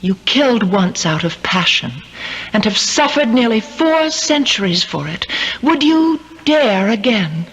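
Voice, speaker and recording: A woman's voice: a little high, big pitch swings, a little slow, husky, long reverb tail, full character voice. An actress playing an earnest, brave woman in a 1970s gothic radio drama. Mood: calm, fearless. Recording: dull, slightly noisy old radio recording.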